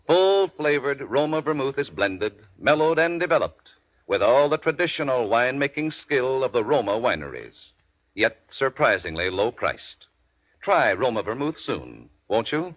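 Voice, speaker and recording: Lively 1940s radio pitchman, dry sound. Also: no room sound, low-quality recording. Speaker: commercial announcer. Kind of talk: 1940s radio advertising, scripted.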